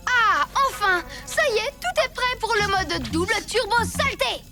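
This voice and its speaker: A very high-pitched scheming boy villain. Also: animated, moderate pace. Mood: gloating. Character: young boy villain, scheming, gloating mad inventor. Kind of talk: animated cartoon dubbing. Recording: loud music under dialogue.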